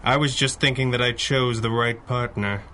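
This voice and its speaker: A man as a suave masked hero of an old-time radio serial, composed and drily amused. The voice is mid-pitched, bright and forceful, with faint noise behind it. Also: fairly even intonation, normal speed, natural voice. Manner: dry, composed, understated. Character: suave, wry masked hero, male crimefighting boss. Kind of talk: 1930s radio adventure drama acting.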